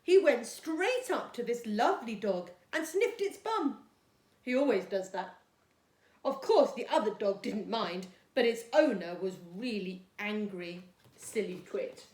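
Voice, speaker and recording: A chirpy, blunt little storybook girl, played by a woman reading aloud, cheeky and down-to-earth. Her high voice bounces about in an echoey room. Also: expressive, unhurried, darkish tone. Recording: echoey, roomy sound.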